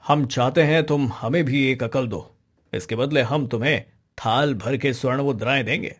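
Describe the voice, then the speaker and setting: A man voicing a stately, shrewd king in a moral-story cartoon, formal, measured and authoritative in manner. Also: mid-range voice, husky, natural voice. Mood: pleased, regal.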